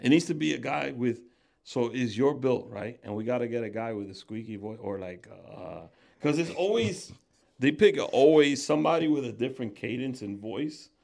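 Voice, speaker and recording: Gravelly, playful male podcast host. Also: medium pitch, expressive, normal speed, a little mellow. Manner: playful, joking, breaks into impressions. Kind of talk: casual chat.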